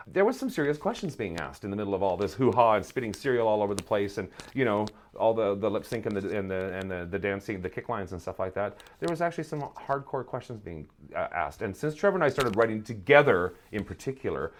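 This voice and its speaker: A man's voice: lowish voice, animated, fast, few pauses, slight background noise. A middle-aged man giving reflective, articulate interview answers, emphatic but often searching for his words. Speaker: guest, playwright and drag performer.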